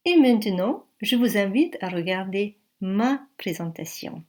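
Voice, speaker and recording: A woman in her forties teaching a beginner language lesson in a slow, careful classroom manner. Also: medium pitch, lively intonation, darkish tone. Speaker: French teacher.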